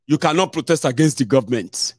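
A Nigerian man in his thirties giving sarcastic, animated political commentary into a desk microphone, with some Pidgin mixed in. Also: medium pitch, fast talker, no room sound, clean studio sound. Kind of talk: live stream commentary. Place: indoor home studio.

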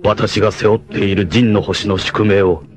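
An actor playing a noble, fatherly blind rebel warrior in an anime, with a solemn, formal, measured delivery. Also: on the low side, steady tone, faint music behind, natural voice. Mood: solemn, tender. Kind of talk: dramatic anime acting.

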